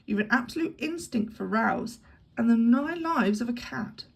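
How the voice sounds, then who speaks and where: A woman's voice: on the high side, animated, moderate pace, gravelly, slightly rough, voice pushed up, heavily acted. A woman reading an audiobook, voicing a jovial man who teases an old friend in a hearty way. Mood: teasing. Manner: teasing, hearty.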